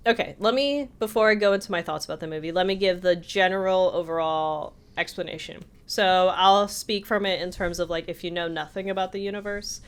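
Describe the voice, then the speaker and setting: A woman with a slightly low voice talks as a podcast co-host. She tells anecdotes in a loose, conversational style, slipping into quotes of what people said. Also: brisk, hardly any pauses, smooth. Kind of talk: casual chat, telling anecdotes.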